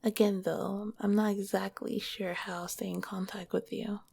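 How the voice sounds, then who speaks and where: A soft, breathy whisper of a kind slime monster girl, as a woman plays her in an ASMR roleplay. The tone is mellow and dark, with a gravelly edge. She speaks gently and hesitantly, right up at the microphone. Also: mid-range voice, flat delivery, moderate pace. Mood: shy. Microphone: close microphone.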